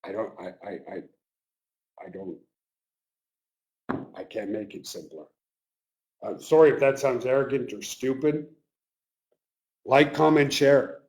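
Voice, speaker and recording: A man hosting a live webinar, talking earnestly and emotionally to his team, his voice getting a little raspy. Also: mid-range voice, unhurried, pauses often, a little mellow. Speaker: webinar host. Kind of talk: live webinar pep talk.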